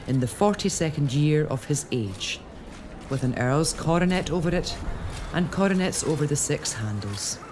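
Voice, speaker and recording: A man narrating the scene in a measured, scripted storytelling manner. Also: fairly high pitch, a little hiss or hum. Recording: clear background ambience.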